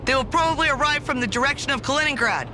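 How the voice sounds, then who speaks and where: A woman playing a brisk, sardonic army officer in a video game, with crisp, dryly sarcastic military delivery. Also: sits high, lively intonation, noisy background. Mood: brisk, alert. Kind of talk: scripted video game mission dialogue. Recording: music behind the voice.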